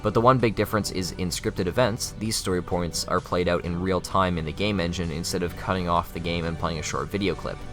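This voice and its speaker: A young man delivers scripted video essay narration. His voice is low and he moves along quickly, with faint music underneath. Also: steady tone.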